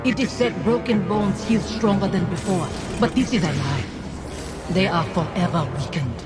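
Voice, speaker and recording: A woman's voice: fairly low pitch, normal speed, natural voice. A woman playing a dead wife's ghost in a video game, wounded and proud, speaking with mournful deliberation. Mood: bitter. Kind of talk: dramatic video game acting. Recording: cinematic music behind. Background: music.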